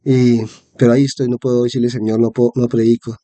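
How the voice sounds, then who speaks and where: A man's voice: mid-range voice, little pitch movement, fast, mellow. A man giving a Bible-teaching sermon, congested with a cold and repeating phrases for emphasis. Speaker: preacher.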